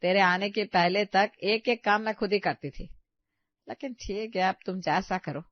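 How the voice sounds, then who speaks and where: A woman's voice: mid-range voice, moderate pace, no room sound, muffled recording, close to an everyday voice. A woman playing a frugal older mother-in-law, chiding and matter-of-fact, in a comedy story. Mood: disapproving. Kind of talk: animated comedy story dialogue.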